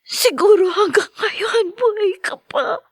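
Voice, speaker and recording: A woman's voice: extremely high pitch, expressive, slow, dry recording, exaggerated voice. An actress playing a young woman grieving her mother, tearful and pleading. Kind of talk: radio-style drama acting.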